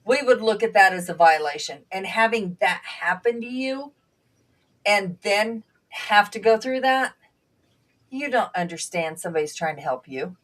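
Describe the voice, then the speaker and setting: A woman whose first language was Bulgarian, a panel guest and former nursing assistant, speaking earnestly and reflectively with halting restarts. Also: mid-range voice, animated, a bit bright, recorded from a distance. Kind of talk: conversational panel talk.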